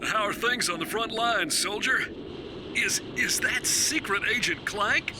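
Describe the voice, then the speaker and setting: High-pitched earnest galactic president. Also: male voice, a little slow. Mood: grave, official. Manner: formal, dramatic. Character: galactic president, earnest, grand, slightly excitable statesman. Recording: loud background noise.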